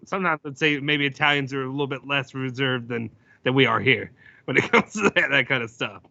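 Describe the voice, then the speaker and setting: A man hosting a casual sports podcast, loud-voiced and rambling, with lots of fillers and restarts. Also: mid-range voice, brisk, gravelly, no echo, dull audio. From United States. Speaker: podcast host.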